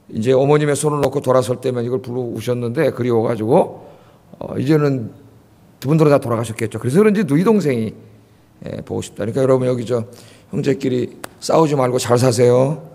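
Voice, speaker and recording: A man's voice: medium pitch, vocal fry, darkish tone. A man in his fifties from Seoul, preaching a sermon to a church congregation in a chatty way, often asking them questions. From Seoul, South Korea. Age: 50s. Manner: conversational, asks the congregation questions. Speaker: pastor. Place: church sanctuary. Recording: long hall reverb.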